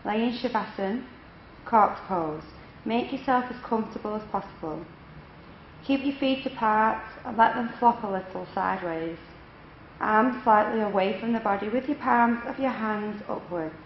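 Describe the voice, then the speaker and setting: Female yoga nidra guide, medium pitch, measured and instructional delivery, recorded in a roomy, echoing space. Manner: measured, instructional, unhurried phrasing with pauses. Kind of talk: guided relaxation meditation. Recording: echoey room, dull lo-fi, faint noise.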